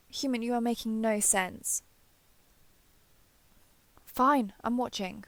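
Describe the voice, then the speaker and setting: A woman playing an ancient, proud female dragon in human form in an audio roleplay, speaking in formal, measured, archaic phrasing. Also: a little high, creaky, dry recording. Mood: impatient, baffled. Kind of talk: scripted audio roleplay acting.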